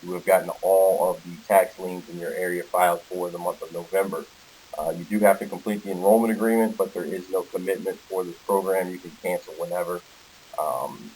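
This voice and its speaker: A man's voice: sits low, a little mellow, rough recording. An American man giving an informal sales presentation on a conference call, walking through slides as the presenter. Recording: hissy conference call audio.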